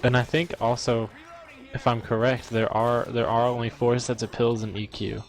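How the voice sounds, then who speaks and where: A man's voice: medium pitch, little pitch movement, moderate pace. A man co-casting a video game match with casual analysis and tentative, conversational explanations. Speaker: esports co-caster.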